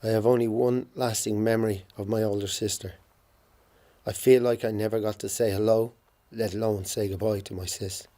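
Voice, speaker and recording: A man in his forties with a Dublin accent reads a prepared poetic eulogy to his sister, solemn and halting in places. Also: fairly low pitch, mellow. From Ireland. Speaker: bereaved brother giving a tribute.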